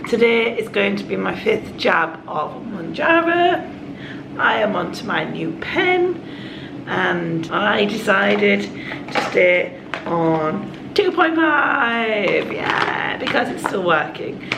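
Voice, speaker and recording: A woman, croaky from a cold, chatting in a confiding way to her vlog audience. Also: mid-range voice, very animated. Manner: chatty and confiding, with quick asides. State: hoarse from a cold. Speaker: vlogger. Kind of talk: casual vlog chat. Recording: echoey room with background noise.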